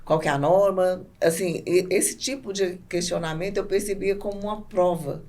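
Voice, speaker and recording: Lower-voiced Brazilian woman as podcast guest. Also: moderate pace, warm tone. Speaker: podcast guest, business owner. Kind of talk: casual conversational interview.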